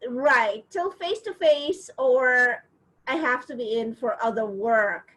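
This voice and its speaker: A middle-aged woman from India, a professor, talking on a video call about her community work in a measured, steady way. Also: a little high. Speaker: interview guest, professor. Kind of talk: casual video-call interview.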